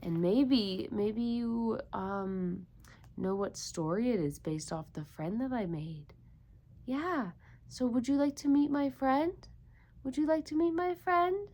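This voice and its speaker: A woman's voice: on the high side, slow pace, a little mellow. A woman doing an interactive storytime for young children, encouraging them with repeated questions. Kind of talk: interactive picture-book read-aloud for young children.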